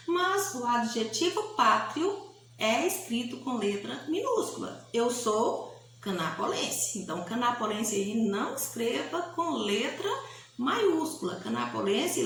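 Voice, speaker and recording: Slow-paced Bahian woman teaching, very echoey room. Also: fairly high pitch, fairly bright tone. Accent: Bahian Brazilian Portuguese. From Bahia, Brazil. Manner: didactic, repeats key points, often checks with tag questions. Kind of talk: recorded grammar lesson for pupils. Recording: echoey room, faint background hiss.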